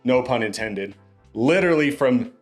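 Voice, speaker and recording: A man's voice: medium pitch, expressive, moderate pace. A young man hosts a podcast in a laid-back, chatty manner, with an upbeat delivery and a mid-range voice. Faint music plays behind him. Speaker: podcast host. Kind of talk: casual podcast hosting.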